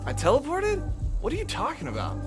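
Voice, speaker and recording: A man's voice: extremely high pitch, huge pitch swings, brisk, full character voice. An actor playing a casual, oddly unbothered young man in an audio drama. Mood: casual, confused. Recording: music bed under the voice.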